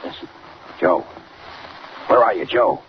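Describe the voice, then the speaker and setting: An actor playing a sinister, scheming factory boss in 1940s radio style, curt, sly and commanding. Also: male voice, on the low side, unhurried, natural voice. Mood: tense, impatient. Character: sinister, scheming male factory owner, impatient and menacing. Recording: muffled, noisy 1940 radio recording.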